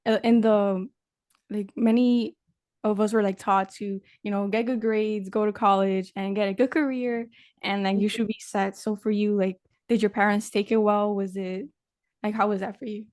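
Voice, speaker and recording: A woman's voice: medium pitch, steady tone, normal speed, a little mellow, no echo, studio quality. A young woman from Mexico hosting a podcast interview in a casual, rambling way, with many fillers and restarts. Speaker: podcast host.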